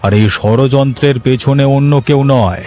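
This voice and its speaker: A man voicing an authoritative, grave village headman in a cartoon, deliberate and familiar in his talk. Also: mid-range voice, darkish tone, soft background music, natural voice.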